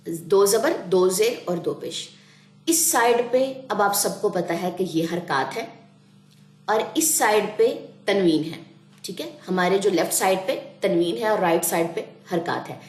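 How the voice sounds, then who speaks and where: A woman teaching a Quran reading class, explaining patiently and sounding out letters slowly. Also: on the high side, reverberant. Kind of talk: online class lesson.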